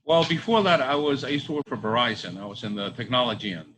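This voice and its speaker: A middle-aged man from Bolivia answering interview questions conversationally, halting and repeating his phrases. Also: medium pitch, animated, fairly bright tone, poor audio. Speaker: restaurant co-owner. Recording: faint background ambience noise.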